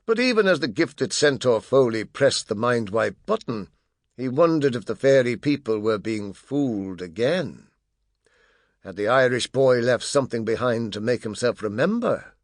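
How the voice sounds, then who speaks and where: Animated male audiobook narrator, dry close sound. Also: mid-range voice, no room sound. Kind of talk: expressive scripted narration of a children's fantasy audiobook.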